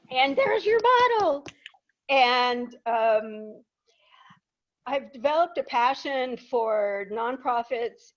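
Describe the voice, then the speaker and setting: Slow-talking older woman telling stories. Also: a little high, highs cut off. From Peru. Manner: humorous, chatty, self-deprecating. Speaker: guest speaker. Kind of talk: anecdotal storytelling to a live audience.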